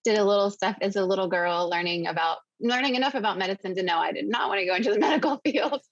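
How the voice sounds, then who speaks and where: A woman's voice: mid-range voice, quick pace, dry recording, lo-fi sound. A woman in her forties, born in the US and raised in Venezuela, speaking as an interview guest in an articulate, storytelling way. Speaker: guest, podcast host. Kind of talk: interview conversation.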